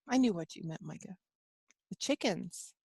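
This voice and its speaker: A woman's voice: medium pitch, dark tone, husky, no echo. A woman teaching a live online class from her home office, chatting with students and reading their answers aloud in an encouraging way. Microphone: computer mic on a video call.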